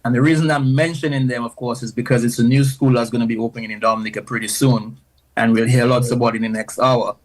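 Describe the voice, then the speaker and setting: A man's voice: mid-range voice, steady tone, fast talker, poor audio. A man hosting a radio talk show, asking his guests questions in a loose, conversational way.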